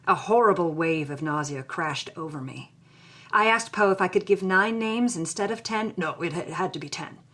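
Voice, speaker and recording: A wry, anguished Hollywood woman of the 1940s, voiced by an actress, tells her story in the first person. Her voice sits a little low, and she delivers the journal with theatrical, expressive storytelling. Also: moderate pace. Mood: bitter, distressed.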